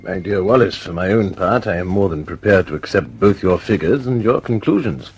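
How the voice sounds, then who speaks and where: An actor playing a sympathetic, wry senior British air officer, a measured and reassuring man, in a radio drama. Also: deep voice, animated, slightly rough, exaggerated voice. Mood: supportive, wry.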